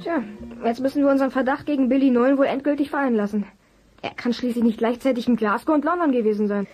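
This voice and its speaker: A woman's voice: sits high, fast, a little mellow, soft background music, natural voice. A woman playing a cocky, eager boy detective of about twelve in a German children's radio play. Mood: eager, reasoning. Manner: cheeky, eager.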